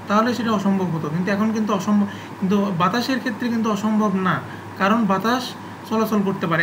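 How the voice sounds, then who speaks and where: Halting male explainer, noisy recording. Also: sits high. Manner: halting, often repeats phrases for emphasis. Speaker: explainer of physics and electronics. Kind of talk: informal unscripted technical explanation. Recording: clearly noisy, constant background hum.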